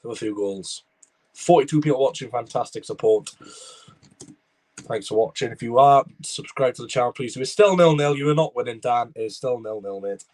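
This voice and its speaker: A young man with a Welsh accent talks through a football match on a live stream. His commentary is casual and loose. He drifts between the action on the pitch and replies to his chat. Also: brisk, a little mellow. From Wales. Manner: rambling, chatty, reads viewer comments aloud.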